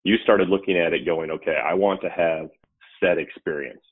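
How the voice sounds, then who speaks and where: A man with a low voice leads a relaxed interview, reflecting his guest's points back in his own words. He sounds thin and narrow, as if heard over a phone. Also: moderate pace. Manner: reassuring, summarizes and reflects the guest's answers back. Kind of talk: conversational interview. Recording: tinny phone-like line.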